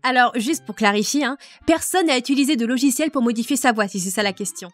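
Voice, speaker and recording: A woman's voice: fairly high pitch, fast, soft background music. A woman who voices a part in a fan dub, answering in a chatty, self-mocking way. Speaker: fandub voice actress. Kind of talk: casual recorded answer.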